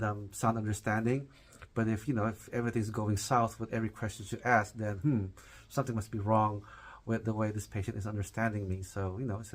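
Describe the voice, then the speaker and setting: Halting nasal-voiced man, lowish pitch. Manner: halting, frequent fillers and restarts. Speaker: nephrology nurse practitioner. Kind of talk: informal teaching talk to camera.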